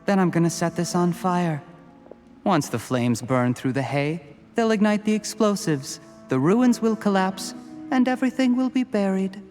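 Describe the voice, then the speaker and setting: A fairly high-pitched male villain, cold and scheming, in a video game. He speaks in measured, theatrical lines with mocking politeness, and music plays underneath. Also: expressive, a little mellow. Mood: cold, defiant. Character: cold, calculating official turned smug poisoner, gloating villain. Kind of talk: scripted video game voice acting.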